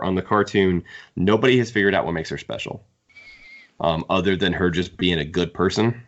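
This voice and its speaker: A man's voice: sits low, fairly bright tone. A man co-hosting a podcast, discussing books casually in an opinionated, wry way. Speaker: podcast co-host. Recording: dull, band-limited audio.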